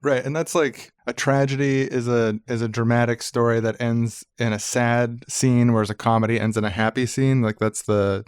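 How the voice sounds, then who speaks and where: A man's voice: medium pitch, level tone, moderate pace, hardly any pauses, clean tone, no room sound. Man, mid-range voice, dry recording, wry, mock-serious, casual comedic podcast talk. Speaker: podcast co-host.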